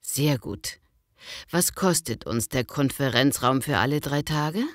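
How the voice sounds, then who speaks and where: A woman acting a polite businesswoman on a booking call in a scripted language-lesson dialogue, speaking clearly. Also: extremely low pitch, unhurried, no room sound, clean studio sound. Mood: polite, businesslike. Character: polite businesswoman booking a hotel conference by phone.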